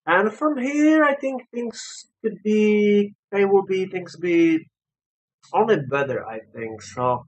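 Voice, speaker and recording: A man who is not a native English speaker gives a casual, unscripted game review, haltingly, often repeating and rephrasing himself. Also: sits high, animated, low-quality recording.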